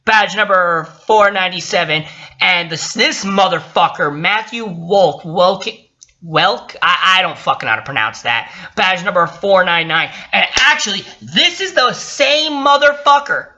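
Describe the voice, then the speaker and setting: Sharp-voiced, profane man ranting. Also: sits high, lively intonation, unhurried. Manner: profane, indignant, sarcastic. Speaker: vlogger. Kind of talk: casual rant-like commentary while reading a report. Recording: dull lo-fi sound.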